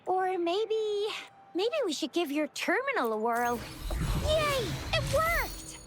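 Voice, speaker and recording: A woman voicing a bubbly, eager young girl adventurer in a video game, with an excitable, chirpy way of talking. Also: extremely high pitch, takes it slowly, noisy background, full character voice. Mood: curious, then excited.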